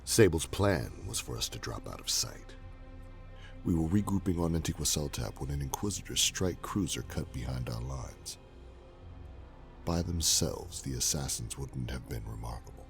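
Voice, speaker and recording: Very deep, gravelly fanatical warrior. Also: male voice, lively intonation, moderate pace, soft background music, exaggerated voice. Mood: grim. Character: menacing, fanatical male chaos warrior with a volatile temper.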